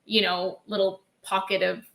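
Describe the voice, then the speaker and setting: A woman's voice: medium pitch, little pitch movement, normal speed, vocal fry, a bit bright, poor audio. A woman co-hosting a casual reaction chat as a realtor, talking in a chatty way with plenty of fillers. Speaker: realtor co-host.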